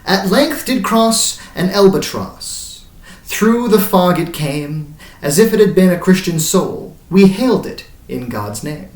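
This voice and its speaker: A man's voice: sits high, lively intonation, measured pace. A man voicing a haunted, grey-bearded old sailor who recounts his voyage in dramatic, incantatory verse that swells and falls away. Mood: grave, intense. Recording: roomy echo, distant mic.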